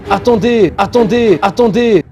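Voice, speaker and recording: A man voicing a bumbling, cowardly impostor healer in an animated religious story, blustering and easily flustered. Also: high-pitched, normal speed, dull audio, faint music behind. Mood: flustered, pleading. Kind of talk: French-language animated religious story dub.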